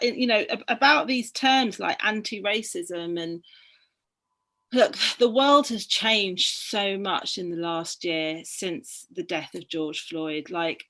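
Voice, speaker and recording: A woman's voice: lowish voice, a bit bright. Earnest middle-aged woman from Barbados. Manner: earnest, passionate, thinking aloud. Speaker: guest, book activist. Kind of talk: conversational discussion.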